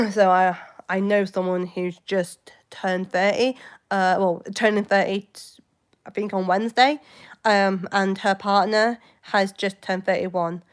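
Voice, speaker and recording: A woman's voice: medium pitch, steady tone, measured pace, clean tone. A woman, chatting casually and rambling to viewers on a livestream, full of hesitations and jumps between topics. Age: 20s. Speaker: livestream host. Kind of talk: casual live chat with viewers.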